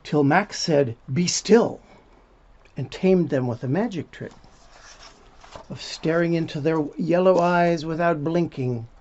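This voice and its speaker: A man's voice: fairly high pitch, measured pace, a little mellow. A man reading a children's picture book aloud in an informal, interactive way, as if to young listeners. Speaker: volunteer storybook reader. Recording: dull lo-fi sound, faint background noise.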